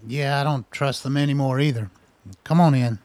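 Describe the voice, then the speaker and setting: An actor in a radio drama playing a wry, defensive middle-aged scientist who talks in an earnest, exasperated way. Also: male voice, mid-range voice, fairly even intonation, normal speed, darkish tone, no room sound, natural voice. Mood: wry, guarded. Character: defensive, wry male scientist doctor, anxious and conspiracy-minded. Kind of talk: naturalistic radio drama acting.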